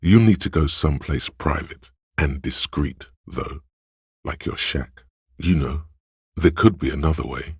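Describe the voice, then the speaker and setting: A man playing the grave, wry inner-voice narrator of a detective game, speaking in a measured, deliberate, literary way with dramatic pauses. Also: no room sound, studio quality, heavily acted. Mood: dry, wry. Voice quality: very deep, gravelly, husky. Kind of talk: scripted game narration, second person.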